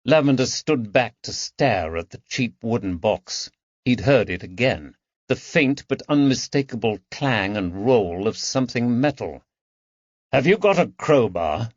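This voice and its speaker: A man's voice: medium pitch, expressive, a little slow, no echo, highs cut off. A man reading a period mystery novel aloud as an audiobook narrator.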